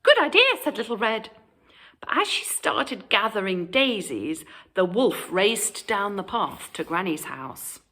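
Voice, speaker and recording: British woman, children's storytime reader, expressive, unhurried, very lively intonation. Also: mid-range voice. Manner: animated, addressing young children.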